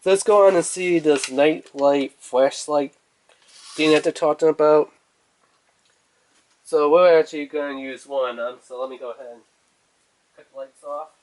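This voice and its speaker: A man's voice: fairly high pitch, moderate pace, pauses often, darkish tone. A man giving a casual product review in an informal, rambling way, thinking aloud as he goes.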